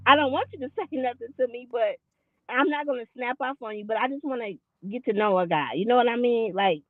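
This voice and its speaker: A woman's voice: a little high, no echo, phone-quality sound. A woman in her late forties chatting candidly and loosely in a casual talk, self-deprecating and rambling as she explains herself. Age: 40s. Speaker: podcast guest. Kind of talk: casual chat.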